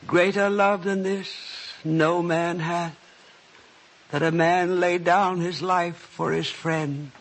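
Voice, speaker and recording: An actor playing a solemn scripture narrator who also speaks the words of a grave Jesus, reverent and formal in a radio drama. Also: male voice, sits high, steady tone, slow pace, heavily acted. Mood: solemn, tender. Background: prominent music.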